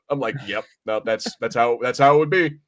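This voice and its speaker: A man's voice: medium pitch, normal speed. Casual, slangy male podcast host. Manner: informal, slangy, mildly profane. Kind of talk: casual film review chat.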